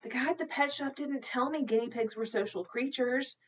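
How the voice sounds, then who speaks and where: A woman's voice: high voice, normal speed, slight background noise, voice pushed up, lightly acted. A shy, downcast eleven-year-old boy from a children's novel, voiced by a woman reader. He talks in an earnest, subdued way. The recording is slightly lo-fi. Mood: apologetic.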